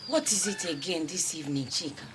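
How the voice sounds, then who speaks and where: A woman's voice: deep voice, lively intonation, brisk, background noise. An actress playing a devoted wife caring for her sick husband, speaking in a pleading, soothing way. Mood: defensive.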